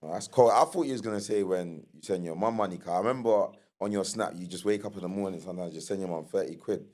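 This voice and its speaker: A man's voice: sits low, quick pace, darkish tone. A man hosting a podcast in casual, informal slang, putting questions to a guest. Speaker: podcast host. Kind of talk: casual chat.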